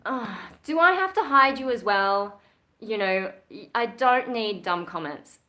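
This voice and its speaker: A woman's voice: a little high, animated, measured pace, sharp tone. A young Australian woman chatting casually on a livestream, reading out and answering viewer comments. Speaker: YouTuber.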